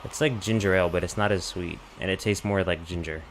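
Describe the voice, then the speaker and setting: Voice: low voice, fast, slight background noise. A guest chatting casually during a game, mostly giving short replies. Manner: brief backchannel replies. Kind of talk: casual gaming chat.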